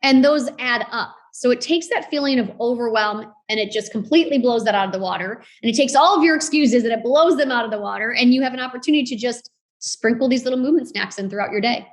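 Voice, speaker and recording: A woman of forty with a fairly high voice speaks as an interviewed fitness instructor. She is informal and conversational, stressing her points in an encouraging way. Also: fast talker. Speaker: podcast guest, fitness founder and instructor.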